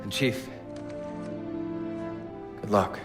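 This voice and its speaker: An actor playing a calm, loyal male starship commander, speaking in a quiet, measured way in a video game cutscene. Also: over loud music. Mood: earnest. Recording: game audio with reverb and loud score.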